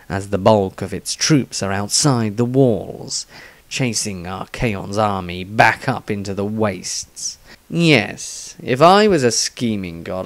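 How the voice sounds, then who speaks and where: A man voicing a cold, scheming male adventurer, reading his fantasy diary in a measured, darkly wry way. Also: on the low side.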